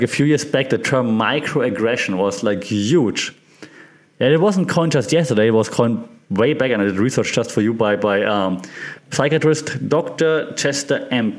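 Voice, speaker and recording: A man with a German accent talks as a solo podcast host at an average male pitch. He explains things informally and conversationally. His delivery is halting, with repeated words and small check-ins aimed at the listener.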